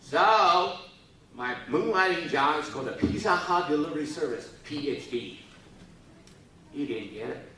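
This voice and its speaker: Lively man in an echoey hall. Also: on the high side, distant mic, a little hiss or hum. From Taiwan. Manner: playful, teasing, prods the audience to respond. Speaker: speaker at a youth forum. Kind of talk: joking speech to a live audience.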